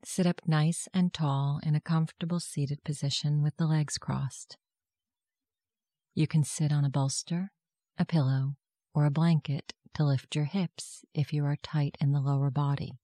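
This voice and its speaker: Mellow, dry-sounding meditation guide. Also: medium pitch, flat delivery, measured pace, dry recording. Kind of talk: guided meditation, scripted narration.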